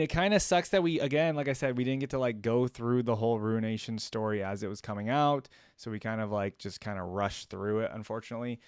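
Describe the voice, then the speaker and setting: A man giving casual, halting reaction commentary as a video host, informal and easily impressed. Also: medium pitch, clear, resonant tone, no room sound, clean studio sound.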